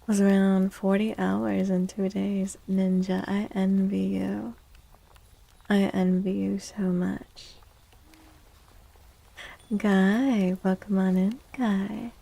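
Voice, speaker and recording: Soft, slow-talking ASMR woman with creaky voice. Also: mid-range voice, flat delivery. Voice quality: soft, breathy, often slipping into whispers. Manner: gentle, unhurried, often repeats phrases. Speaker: ASMR live streamer, host. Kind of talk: soft-spoken ASMR chat with live viewers. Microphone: close microphone.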